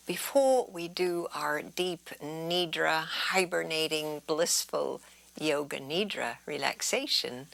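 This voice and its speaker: Woman, yoga instructor, guided relaxation, soothing, slightly low pitch, breathy near-whispers, close dry sound. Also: pushed and bright, dry recording. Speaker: yoga teacher.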